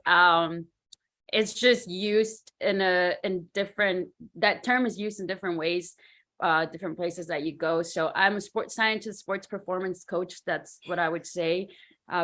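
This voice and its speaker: A woman raised in Mexico speaking as a panel guest about her sports science work, relaxed with frequent fillers. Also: fairly low pitch. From Mexico, Ciudad Juarez. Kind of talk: casual talk about her career.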